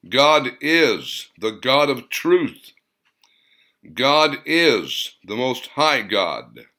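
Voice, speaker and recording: Man voicing very slow, majestic divine herald. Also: mid-range voice, bright, forceful tone, exaggerated voice. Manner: grand, drawn-out proclamation. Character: majestic choir of divine voices announcing God's names.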